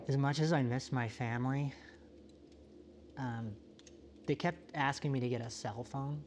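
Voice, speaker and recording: A man playing a solitary, introspective apocalypse survivor in a found-footage monologue, talking in a halting, self-questioning, confessional way. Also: medium pitch, steady tone, slight background noise, natural voice. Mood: weary, reflective. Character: solitary, world-weary, introspective male survivor of a quiet apocalypse.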